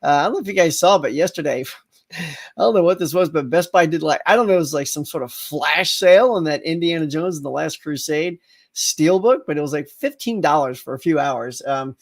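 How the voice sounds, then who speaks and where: A middle-aged man hosts a relaxed live morning chat, his voice sitting a touch high. He sounds a little congested and rambles along, restarting sentences and tossing in plenty of fillers. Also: brisk, vocal fry. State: slightly congested. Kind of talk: casual live-stream chat with viewers.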